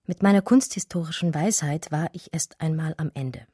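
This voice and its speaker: Low, dry-miked scholarly woman storyteller. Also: mellow, slightly rough, dry recording. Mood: reflective narrating. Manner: measured, eloquent, scholarly. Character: educated German art historian recounting her love story. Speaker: narrator and lead character. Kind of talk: literary radio drama narration.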